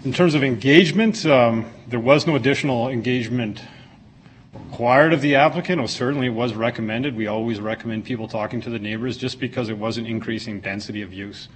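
A man's voice: medium pitch, a little fast, husky, low-quality recording. A man giving an informal, plain-spoken staff presentation to a council, explaining details as a city planner. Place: council meeting room.

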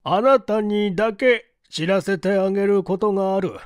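A woman voicing a composed, courteous male detective chief in an audiobook, explaining in a deliberate, emphatic and formal way. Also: medium pitch, no echo, studio quality, voice pushed up, natural voice. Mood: grave, accusing. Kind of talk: storybook dialogue in audiobook reading.

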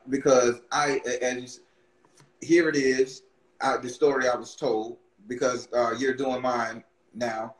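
A man's voice: medium pitch, roomy sound, rough recording. A man in his thirties hosting a casual video-call interview, talking in a rambling way with many restarts. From Barbados. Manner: rambling, repeats words, excited restarts. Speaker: podcast host, tarot reader. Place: room with brick wall backdrop. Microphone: webcam on video call.

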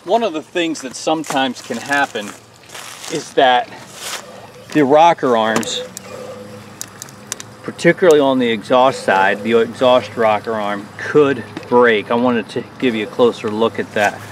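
A man's voice: medium pitch, unhurried. Guy in his 40s doing a diy engine repair video out on the lawn, plain folksy explaining, mid voice, bit of outdoor noise. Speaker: small engine repair host. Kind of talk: hands-on repair tutorial.

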